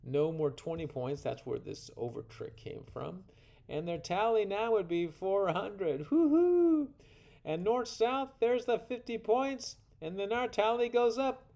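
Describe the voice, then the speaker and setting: A man's voice: sits high, measured pace, creaky, a little mellow. A man presenting a card game tutorial as its instructor, informal and folksy, with playful exclamations and rambling asides. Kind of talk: informal tutorial narration walking through card hands.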